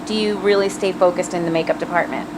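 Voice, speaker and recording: A woman's voice: on the low side, fairly even intonation, fast, background noise. A woman hosting an interview, asking questions and backing her guest with frequent affirmations; English is not her first language. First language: French. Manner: encouraging, asks questions, many affirmations. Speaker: host, interviewer. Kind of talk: casual interview.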